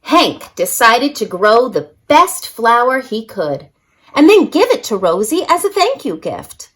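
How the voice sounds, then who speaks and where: A woman's voice: a little high, big pitch swings, unhurried, a bit bright, slightly rough. A woman reading a picture book aloud expressively for young children, as a story-time reader.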